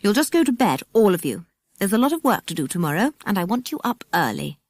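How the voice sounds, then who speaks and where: A woman's voice: mid-range voice, sing-song, quick pace, no echo, clean studio sound. A woman voicing a harsh, strict aunt with a stern, bossy manner in a children's audiobook. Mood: scolding.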